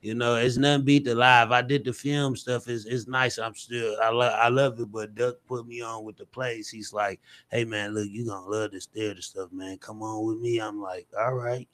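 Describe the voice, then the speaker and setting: A man's voice: mid-range voice, flat delivery, normal speed, hardly any pauses, a bit bright. An American man chatting casually as an interview guest, informal and repeating himself for emphasis. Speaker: guest stage actor.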